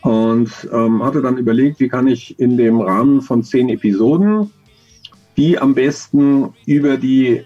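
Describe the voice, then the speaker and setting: A man's voice: mid-range voice, measured pace, soft background music. Hesitant man on a dull video call. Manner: thoughtful, many hesitations and fillers. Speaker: audio drama author, interview guest. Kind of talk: relaxed conversational interview.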